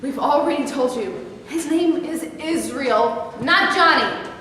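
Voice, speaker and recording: A woman's voice: high voice, slow pace, fairly bright tone, slight background noise. A woman reading the part of an outraged religious mother in a staged play, indignant and scolding. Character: outraged devout adoptive mother. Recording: echoey hall with long reverb.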